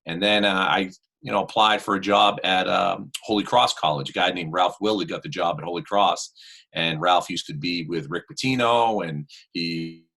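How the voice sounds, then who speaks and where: Forceful deep-voiced Boston man. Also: normal speed, vocal fry. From Worcester, Massachusetts. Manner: rambling, full of "you know" and "um". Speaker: guest basketball coach. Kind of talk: casual interview chat.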